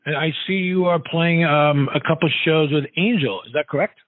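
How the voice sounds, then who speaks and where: Higher-pitched male interviewer, phone-like sound. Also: no echo. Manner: halting, repeats words as he forms questions. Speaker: radio show host, interviewer. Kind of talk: casual interview questioning. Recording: narrow, dull phone-like sound.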